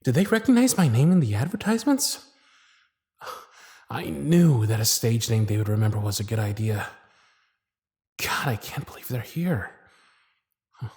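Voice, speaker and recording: A man voicing a lovestruck magician's private thoughts in a hushed, confiding inner monologue for an ASMR roleplay. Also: mid-range voice, lively intonation, unhurried, long pauses, voice pushed down. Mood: smitten, musing. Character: smitten magician's private thoughts, shy and fond.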